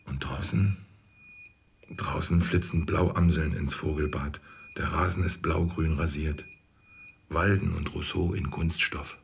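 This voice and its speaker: A man's voice: a bass voice, fairly even intonation, measured pace, gravelly, slight background noise, full character voice. An actor playing a wry, embattled insurance salesman in a radio play, speaking a sardonic monologue in clipped phrases. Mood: bitter, sardonic.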